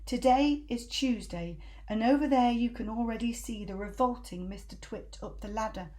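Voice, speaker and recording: A woman reading a children's book aloud in an animated, emphatic storytelling style. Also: fairly high pitch, moderate pace. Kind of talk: expressive scripted narration for children.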